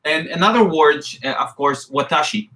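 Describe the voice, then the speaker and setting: Bright-voiced Israeli man chatting. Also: on the high side, normal speed, poor audio. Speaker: co-host. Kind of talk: casual live chat.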